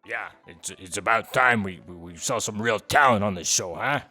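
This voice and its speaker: A man's voice: lowish voice, normal speed, pushed and bright, faint music behind. A man playing a grumbling, cocky, demanding celebrity co-host in brash, sarcastic comic banter. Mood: smug.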